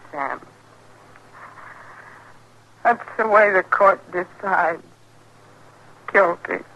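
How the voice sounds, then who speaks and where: Frontier wife: worn down, grim, halting rustic American speech, bright tone, vintage radio western hiss. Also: female voice, on the low side, creaky, dull audio, heavily acted. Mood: numb, resolved. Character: battered, worn-out frontier wife turned grimly desperate. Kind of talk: 1950s radio western acting. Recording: noisy old radio recording.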